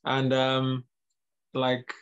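A man's voice: mid-range voice, completely flat delivery, very slow, smooth, muffled recording. A man in his mid-thirties, from England and Northern Ireland, talking as a guest in a casual interview. His voice stays level, with little rise or fall, and his speech is loose and full of fillers. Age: 30s. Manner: loose, filler-heavy, lots of "like" and "you know". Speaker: guest, MMA fighter.

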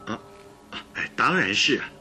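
An actor playing an impatient, grumbling companion of a detective in a radio drama, blunt and exclamatory. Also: male voice, on the low side, very animated, measured pace, sharp tone, soft background music, full character voice.

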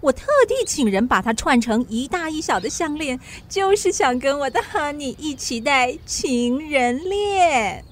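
A woman's voice: high voice, animated, measured pace, few pauses, slight background noise. An actress in a radio drama playing a vain, pampered French society lady who dotes on her dog, gushing and boastful. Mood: smug boasting.

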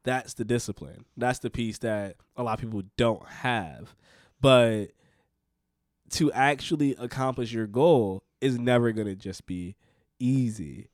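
A man's voice: medium pitch, unhurried, darkish tone, dry recording, studio quality. A man in his late twenties talking casually as a podcast co-host, pressing his points with earnest, repeated emphasis. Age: 20s. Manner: earnest, repetitive emphasis, coaching tone. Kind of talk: casual conversation.

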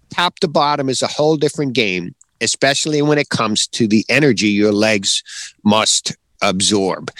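A man's voice: mid-range voice, fairly bright tone, no room sound. Middle-aged man, casual podcast host, dry close sound. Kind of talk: casual chat about writing, with some reading aloud.

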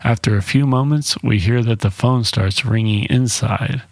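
A man's voice: medium pitch, moderate pace, darkish tone, no room sound. A man hosting a solo podcast, giving a casual movie review in a blunt, informal way with some swearing. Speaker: podcast host.

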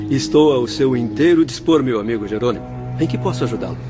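A slightly muffled radio drama with faint music under a man acting as a kindly doctor. He speaks at a medium pitch, composed, courteous and reassuring in formal phrases. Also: expressive, brisk, lightly acted. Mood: calm, obliging. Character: kindly, composed male doctor of spirit infirmary.

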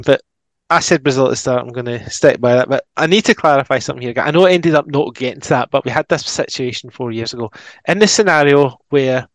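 A man's voice: medium pitch, no echo. A man hosting a casual football podcast, reading out listener messages with lots of ums. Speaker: podcast host.

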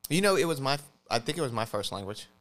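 A man co-hosting a podcast, explaining things casually in a halting way, often stammering and correcting himself. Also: medium pitch, sing-song, a bit bright. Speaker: podcast host.

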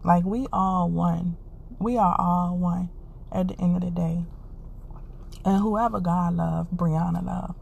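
A woman's voice: on the low side, steady tone, takes it slowly, vocal fry, darkish tone, slight background noise. A woman hosting a podcast, giving an earnest, encouraging casual monologue and repeating her phrases, congested and stuffy. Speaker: podcast host.

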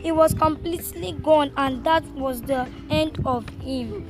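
Slow, high young storyteller. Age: child. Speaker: school pupil reader. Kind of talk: read-aloud storytelling. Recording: loud music behind the voice.

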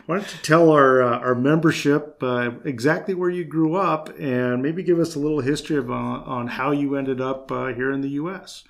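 Guy doing podcast interview, average pitch, folksy and informal, chatting. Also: fairly even intonation, moderate pace, vocal fry, hardly any pauses. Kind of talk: casual interview chat.

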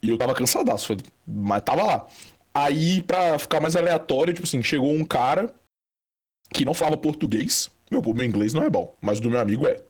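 A man's voice: fairly high pitch, lively intonation, a little fast, a little mellow, breathy edge. A young man from Brazil telling a long, rambling anecdote in casual chat, full of fillers and restarts. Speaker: storyteller guest.

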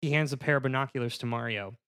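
A man on a casual panel show pitching a comedic movie scene by scene, in a halting, mock-formal narrating manner. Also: fairly bright tone, no echo, studio quality.

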